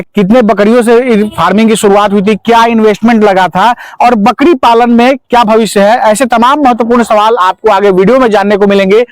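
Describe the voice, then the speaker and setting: A man's voice: sits high, no echo, rough recording. A man hosting a farming video, explaining things and summing up as he presents and interviews at a goat farm. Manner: explanatory, repeats and sums up answers. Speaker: host of a farming video channel.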